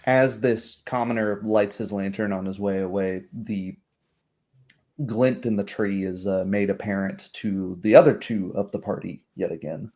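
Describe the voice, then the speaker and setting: A man's voice: on the low side, fairly even intonation, measured pace, warm tone. A man narrating a tabletop roleplaying game as its game master.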